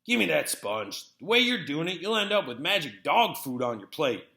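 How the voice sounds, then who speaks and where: A man's voice: a little high, sing-song, moderate pace, vocal fry, bright, forceful tone, roomy sound, heavily acted. A man voicing a huge, easygoing, teasing older brother, a rural young man, in a storybook reading. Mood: bossy, casual. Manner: casual, teasing.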